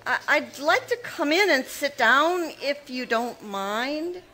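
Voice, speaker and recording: A woman voicing a scheming, fretful spinster who stammers and frets to spread gossip. Her high voice swings up and down, bright and sharp. It is pure 1940s radio drama. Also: expressive, full character voice. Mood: pleading. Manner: halting, stammering show of distress. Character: scheming, nervous-seeming spinster sister. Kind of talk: live recreation of 1940s radio mystery acting.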